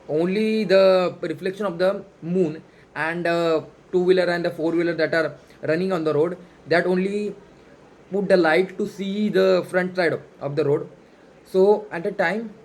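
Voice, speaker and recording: An Indian man with a Marathi mother tongue delivers an off-the-cuff motivational talk for his vlog. His voice sits high for a man. His English is non-native and halting, with phrases often repeated. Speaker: self-improvement challenge vlogger. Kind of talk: unscripted motivational monologue.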